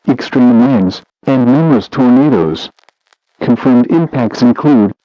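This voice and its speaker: A man reading a scripted emergency alert in a formal, measured official delivery. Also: mid-range voice, no room sound, dull audio.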